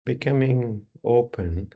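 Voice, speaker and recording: A man teaching yoga, slowly and calmly guiding a relaxation meditation in short, spare phrases. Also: mid-range voice, creaky, husky, lo-fi sound. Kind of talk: guided relaxation meditation instruction.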